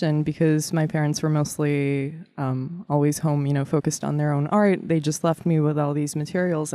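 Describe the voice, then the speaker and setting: A woman in her thirties from Kazakhstan, telling animated stories about her childhood as a guest in an interview. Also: sits low, dry recording. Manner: warm, animated storyteller with frequent laughs and fillers. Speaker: guest artist. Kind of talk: casual interview storytelling.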